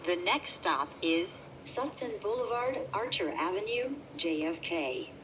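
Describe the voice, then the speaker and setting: Female transit announcer, slightly low pitch, measured scripted delivery in clipped segments, noticeably noisy vehicle-ambience recording. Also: animated. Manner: measured, formal, segmented phrasing. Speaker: train announcer.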